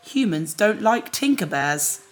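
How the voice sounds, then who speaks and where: A woman voicing a shady, matter-of-fact alien bear-like repair trader in a sci-fi audio drama, deadpan and clipped. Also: mid-range voice, unhurried, soft background music, close to an everyday voice. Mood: dry, ominous.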